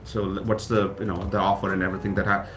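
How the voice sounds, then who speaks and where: A man's voice: deep voice, level tone, fast, a bit bright, faint music behind. A man from South India whose first language is Kannada, a college president talking earnestly and gratefully in a casual radio interview, often restarting his phrases. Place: radio studio.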